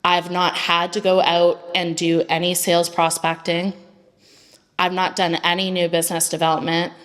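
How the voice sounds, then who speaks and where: A woman's voice: lowish voice, little pitch movement, normal speed, fairly bright tone, in a large hall. A woman founder speaking on a panel in a casual way, often saying "like". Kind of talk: panel discussion talk.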